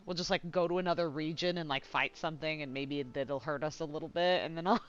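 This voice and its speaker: A woman's voice: low-pitched, fast talker, smooth, lo-fi sound. A woman streaming a game, giving casual, informal and rambling commentary on a close mic.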